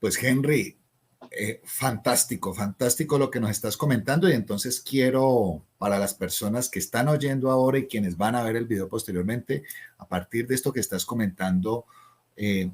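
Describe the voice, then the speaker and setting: A man from Colombia hosting an interview, speaking in a measured, flowery way. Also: mid-range voice, husky. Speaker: interviewer, host. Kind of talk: formal interview questions. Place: Cali, Colombia.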